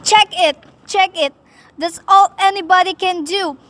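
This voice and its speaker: A woman's voice: extremely high pitch, a little slow, fairly bright tone, a little hiss or hum. A woman playing a nervous, demanding, self-pitying invalid wife in an amateur radio play, agitated and complaining. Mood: irritated, frantic.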